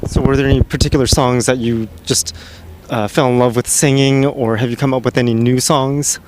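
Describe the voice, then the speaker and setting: A man's voice: medium pitch, fairly even intonation, fairly quick, a little mellow, a little hiss or hum. A man interviewing a band, asking questions plainly and casually.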